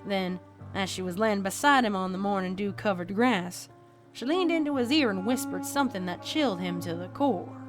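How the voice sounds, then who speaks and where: A woman voicing a deadpan cowgirl pony who tells a spooky tale in a folksy, dramatic way for a fan fiction audio story. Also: medium pitch, moderate pace, lightly acted. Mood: steady, suspenseful. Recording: background music under the voice.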